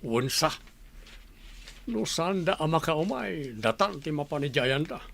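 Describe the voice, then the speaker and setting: Man acting gravelly Filipino village father. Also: a little high, expressive, unhurried, a bit bright, slight background noise, exaggerated voice. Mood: matter-of-fact. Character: disapproving middle-aged village father of grown daughter. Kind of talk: village radio drama acting.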